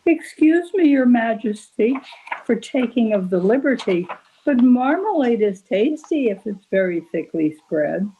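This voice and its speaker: A shy storybook dairymaid, played by a woman, polite and apologetic. The voice swoops in pitch and has a mellow edge. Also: very animated, measured pace, dull audio, a little hiss or hum. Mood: deferential. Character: deferential, shy young dairymaid.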